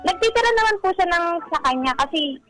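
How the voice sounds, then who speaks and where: A woman's voice: very high-pitched, lively intonation, a little fast, fairly bright tone, rough recording. A woman, talkative and polite, telling her own story casually as a caller in a run-on way. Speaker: caller seeking relationship advice. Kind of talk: casual personal account to radio hosts. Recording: music playing behind.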